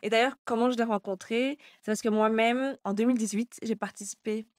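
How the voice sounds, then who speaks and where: A woman, a guest chatting in an interview in a lively way, with many hesitations. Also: on the high side, brisk, no room sound, clean studio sound. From Belgium. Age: 20s. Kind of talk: casual interview chat.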